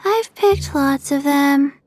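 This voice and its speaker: Little game girl, high childlike voice, slow halting delivery, deadpan, earnest, music behind. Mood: dutiful. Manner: deadpan, halting, simple phrasing. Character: small childlike undead herb-gathering girl, flat and earnest. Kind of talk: animated video game acting.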